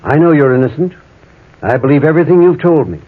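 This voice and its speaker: A man's voice: fairly high pitch, lively intonation, fast talker. An actor playing a fatherly, learned art connoisseur in an old-time radio drama, speaking in a formal, urging, theatrical manner. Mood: urging, confiding. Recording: tinny, band-limited old radio recording, faint noise.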